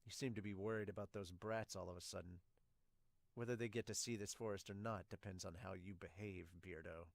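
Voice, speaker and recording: Guy doing a gruff scornful soldier, manga dub, low n curt, kinda threatening, dry mic. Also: fairly even intonation, fairly quick, no room sound. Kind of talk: amateur manga dub reading.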